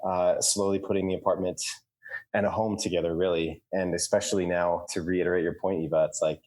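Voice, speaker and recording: A man co-hosting a podcast, talking casually in halting phrases with many restarts. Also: low voice, little pitch movement, darkish tone, low-quality recording. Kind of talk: casual chat.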